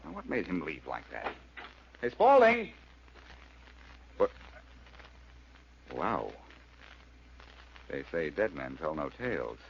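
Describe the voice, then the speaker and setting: An actor playing a cool, quick-witted reformed crook turned sleuth in a 1940s radio drama, wry and glib. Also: male voice, rumbling low, wildly swooping pitch, vocal fry, heavily acted. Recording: muffled, hissy old radio recording.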